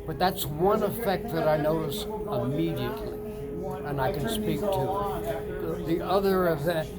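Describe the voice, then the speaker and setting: A man in his ninetieth year, talking casually from inside a sauna, halting with many fillers and restarts. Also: high-pitched, over loud music. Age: older. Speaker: sauna customer. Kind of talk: casual testimonial chat. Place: indoors, seated in a sauna.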